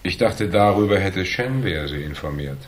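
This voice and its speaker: A hardboiled investigator with a low, deep voice in a German radio crime drama. He is cool and shrewd, setting out each point with dry, measured, needling precision. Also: lively intonation. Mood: analytical. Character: cool, shrewd hardboiled male investigator, calmly laying out deductions.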